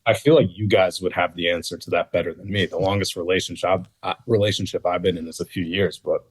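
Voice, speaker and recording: A man's voice: low voice, steady tone, fast talker, slightly rough, no echo. A thirty-year-old American man answering as a guest in a casual interview, choosing his words with some hesitation. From northeastern United States. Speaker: interview guest, former reality dating show cast member.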